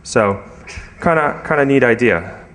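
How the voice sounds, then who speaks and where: A man's voice: medium pitch, lively intonation, a bit bright, slight background noise. Hesitant man giving an informal tech talk. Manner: hesitant, halting delivery with many filler phrases. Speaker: conference speaker. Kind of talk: informal technical conference talk with audience questions. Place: conference room, speaking to an audience.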